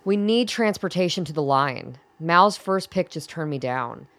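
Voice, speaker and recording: A gravelly older woman played by an actress in an audio drama, curt and commanding. She is a guarded doctor with a dry, formal delivery, recorded close with very little room sound. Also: low voice, lively intonation, a little slow, fairly bright tone, no echo, full character voice. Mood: brisk, probing.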